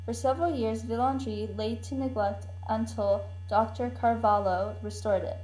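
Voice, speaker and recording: Woman playing an enthusiastic kid tourist, slightly high voice. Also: fairly even intonation, moderate pace, a little mellow, lightly acted, rough recording. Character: enthusiastic child tourist recounting a family trip. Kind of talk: scripted monologue, puppet show. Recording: faint hum and noise.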